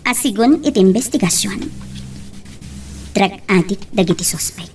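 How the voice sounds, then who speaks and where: A woman's voice: medium pitch, expressive, normal speed, a little mellow, soft background music, natural voice. A woman acting an earnest, pressing woman mayor in an Ilocano radio love drama. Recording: somewhat distant mic, faint noise.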